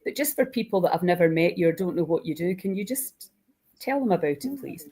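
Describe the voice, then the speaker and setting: A woman's voice: on the low side, expressive, brisk, mellow, husky. A woman hosting an informal live online interview in a chatty, enthusiastic way. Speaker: host.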